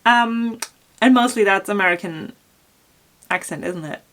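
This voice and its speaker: A woman's voice: mid-range voice, a little slow. A woman from Germany with a German accent answering viewer questions in a casual fashion vlog, chatty and full of ums and likes. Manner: chatty, many ums and likes, laughs softly. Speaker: fashion YouTuber.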